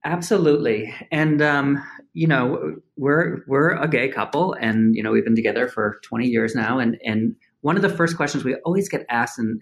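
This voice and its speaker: A man's voice: mid-range voice, rough recording. A man speaking candidly as an interview guest, often restarting his phrases. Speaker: guest, farm business owner. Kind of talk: conversational interview.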